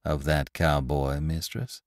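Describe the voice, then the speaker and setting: A man voicing a quiet, calm herdsman. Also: low voice, unhurried, dry recording, clean studio sound. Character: calm cautious young male herdsman.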